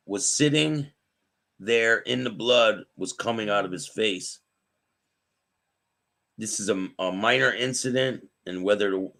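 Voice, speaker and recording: A man with a raspy voice hosting a live stream. He reads news aloud and chats with viewers by name. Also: on the low side, pushed and bright. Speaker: host.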